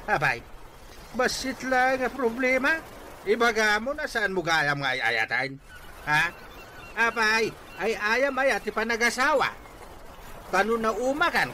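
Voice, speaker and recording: An exasperated, blustering older father in a radio comedy-drama. His voice is high and sharp-edged, swinging widely as he scolds. Faint noise sits under the recording. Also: heavily acted.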